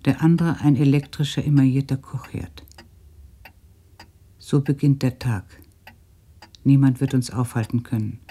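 A man's voice: mid-range voice, steady tone, measured pace, long pauses, warm tone, breathy edge. An actor playing a sober, subdued village man who describes daily life plainly in a literary radio play.

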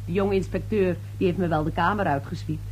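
An actress playing a brash, slippery young newspaper reporter in a radio play, glib, insistent and patronizing. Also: female voice, on the low side, steady tone, brisk, darkish tone, highs cut off, lightly acted. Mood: brash, breezy. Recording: noisy old radio drama recording.

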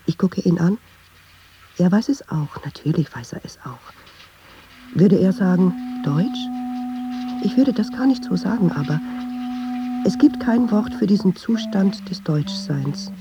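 A woman's voice: on the low side, animated, unhurried, long pauses, lo-fi sound, noisy background. An actress playing a watchful, nervous woman who muses hesitantly in an intimate radio drama monologue, dropping into half-whispers. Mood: suspicious, uneasy. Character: watchful, nervous woman narrating her inner thoughts.

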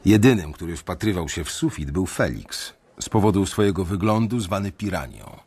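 A young man narrating a radio drama in the first person, measured and brooding. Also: low-pitched, lively intonation, a little mellow, breathy edge. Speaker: narrator and main character.

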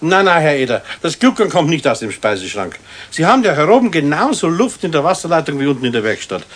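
A man's voice: fairly high pitch, very animated, quick pace, a bit bright. A man playing a helpful, insistent building caretaker in a children's radio play, speaking folksily with a Bavarian accent. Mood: helpful, matter-of-fact. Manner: folksy, persistent. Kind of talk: 1970s German children's radio play acting.

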